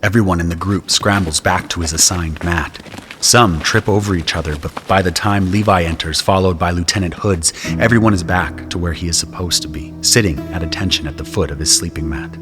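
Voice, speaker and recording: A man's voice: deep voice, a little fast, music in the background. A man narrating a scripted audio drama in the first person.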